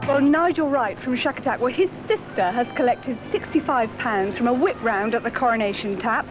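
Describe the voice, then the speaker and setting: A woman's voice: on the high side, a little fast, over music, poor audio. A woman on local radio announcing charity totals in a chatty way. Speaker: radio presenter.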